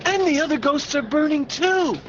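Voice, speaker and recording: Woman as a high-voiced anxious boy, dull sound. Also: animated, normal speed, a little hiss or hum. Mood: anxious, relieved. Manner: worried, exclamatory. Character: frightened, anxious boy at a haunted party. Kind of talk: dramatised storybook reading.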